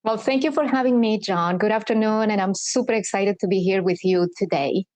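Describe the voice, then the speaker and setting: A woman, speaking as a guest in a podcast interview and explaining things in an earnest, measured way. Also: mid-range voice, darkish tone. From Colombia. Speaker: guest, corporate sustainability executive.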